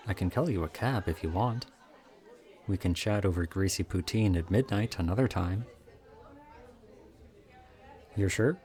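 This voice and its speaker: Man acting a deep-voiced, oddly charming young student. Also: a little mellow, lightly acted. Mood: puzzled, reassuring. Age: young adult. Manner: casual, hesitant, conversational. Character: odd, charming young student with creepy intensity. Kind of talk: audio roleplay acting, addressed to listener.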